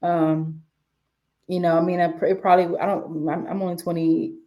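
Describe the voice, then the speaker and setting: Woman, 20s, from the DC area; relaxed, chatty podcast guest, lowish mellow voice. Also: moderate pace. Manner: relaxed, chatty, many fillers and restarts. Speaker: guest, sports media writer. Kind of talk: casual interview talk.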